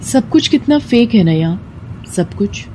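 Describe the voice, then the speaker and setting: A woman's voice: mid-range voice, animated, measured pace, dark tone, slight background noise, lightly acted, low-quality recording. A woman voicing an earnest, troubled young female student in a story, speaking emotively. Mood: bitter, brooding. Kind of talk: dramatized story dialogue.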